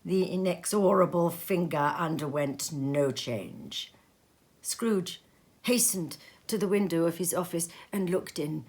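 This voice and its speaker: A woman reading a story aloud in an expressive, measured and dramatic narrating style. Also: lowish voice. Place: sitting room at home.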